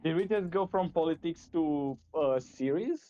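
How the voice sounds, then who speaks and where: A man's voice: on the high side, warm tone. Hesitant young Romanian man, muffled. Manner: confused, halting, lots of fillers. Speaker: voice chat participant. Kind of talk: casual group chat.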